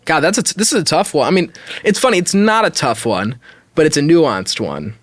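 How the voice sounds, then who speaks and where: A man's voice: on the high side, sing-song, a little fast, no echo, studio quality. A man hosting a podcast, talking casually and giving opinions as he thinks aloud and qualifies his judgments. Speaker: podcast host. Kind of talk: casual opinionated discussion of online stories.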